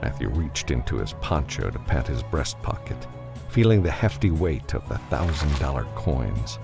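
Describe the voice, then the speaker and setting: A man narrates science fiction in an extremely low voice with a gravelly fry. His reading moves expressively up and down, and it is delivered as a scripted audiobook. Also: sing-song, moderate pace, music in the background.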